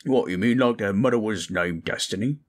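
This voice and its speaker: A man's voice: fairly low pitch, brisk, close to an everyday voice. A man playing an informal, puzzled, down-to-earth sidekick in a fantasy audio roleplay. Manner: informal, questioning.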